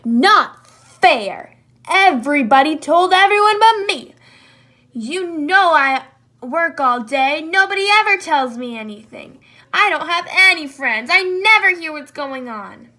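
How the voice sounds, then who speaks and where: A woman voicing a jealous male jackal who whines and complains loudly in a storybook reading. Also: on the high side, animated, a little slow, few pauses. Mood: indignant.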